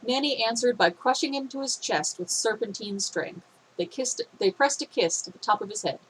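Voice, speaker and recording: A woman reading a fan fiction story aloud in a steady, expressive way, her voice a little phlegmy. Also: fairly high pitch, moderate pace, slight background noise, low-quality recording.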